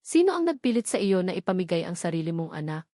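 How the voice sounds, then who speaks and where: A woman's voice: fairly low pitch, sing-song, fast talker, mellow, no room sound. A woman reads as a stern rich man in a story drama. He is blunt and accusing, holding in anger. The sound is close and dry. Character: stern wealthy man confronting a servant with anger.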